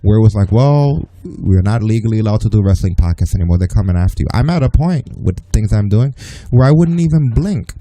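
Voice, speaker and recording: Podcast host, young adult man, very dark mellow tone, emphatic and opinionated debater. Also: on the low side, animated, brisk, no echo. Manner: emphatic, opinionated, argues with rising voice. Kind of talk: heated casual podcast chat.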